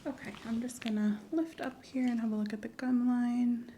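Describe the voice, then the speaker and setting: A soft-spoken woman in an ASMR medical roleplay, gently and calmly playing an examiner, often dropping into a whisper. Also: fairly high pitch. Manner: gentle, reassuring, unhurried clinical narration. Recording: roomy echo, faint ambient noise.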